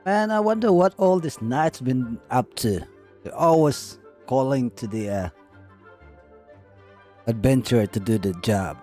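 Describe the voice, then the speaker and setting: A man's voice: medium pitch, lively intonation, unhurried, long pauses, mellow. Laid-back man streaming a game. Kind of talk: casual live gameplay commentary. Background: game music.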